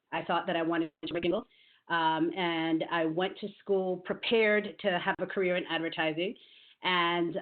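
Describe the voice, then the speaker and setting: A middle-aged woman from New York City, a marketing executive speaking in a virtual interview, measured and thoughtful, with frequent ums. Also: lowish voice. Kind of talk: virtual interview, career talk. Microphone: video call. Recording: phone-like call audio.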